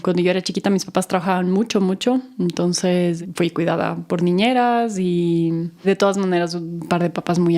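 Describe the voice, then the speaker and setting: A woman of about forty, talking in a relaxed way as an interview guest, with pauses and fillers. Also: lowish voice. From Ecuador. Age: 40s. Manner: relaxed, hesitant, with many fillers. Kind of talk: casual interview conversation.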